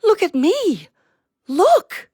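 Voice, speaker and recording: An actress playing a small, proud, watchful songbird narrating in an audio play, describing things with simple wonder. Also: female voice, extremely high pitch, big pitch swings, very slow, mellow, dry recording, full character voice. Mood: excited. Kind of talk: audio drama monologue.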